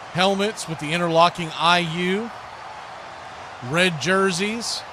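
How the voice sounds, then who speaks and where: Man, fairly high voice, folksy play-by-play host, casual live commentary, clear background noise. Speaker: play-by-play announcer and livestream host. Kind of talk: live sports commentary, chatting to viewers. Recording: clearly noisy live stream with background ambience.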